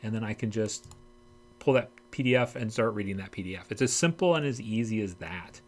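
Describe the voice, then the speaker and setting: A man's voice: lowish voice, fairly quick. A man giving an informal instructional walkthrough as a video host, explaining things directly to viewers. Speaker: host of screenwriting tutorial videos. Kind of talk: instructional vlog and screen walkthrough.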